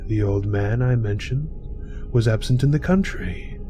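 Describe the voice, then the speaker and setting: A man reads gothic horror in a theatrical storytelling manner. His delivery is slow and deliberate, in a middling register. Prominent music plays underneath his voice. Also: mid-range voice. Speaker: reader.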